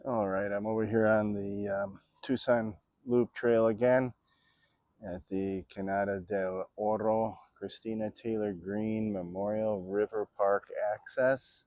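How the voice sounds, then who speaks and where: Rambling sixties man vlogging from his bike, low-pitched, dry close sound. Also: steady tone, a little slow, darkish tone, no echo, lo-fi sound. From Florida, USA. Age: 60s. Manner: rambling, chatty, with many fillers. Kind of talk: casual vlog narration while riding an e-bike. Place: outdoors on a bike trail.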